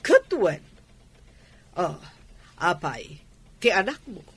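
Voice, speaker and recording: A woman's voice: fairly low pitch, huge pitch swings, slow pace, a bit bright, slightly rough, exaggerated voice. A woman playing a nosy, ambitious middle-aged mother in a radio drama, with an Ilocano accent. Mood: prying.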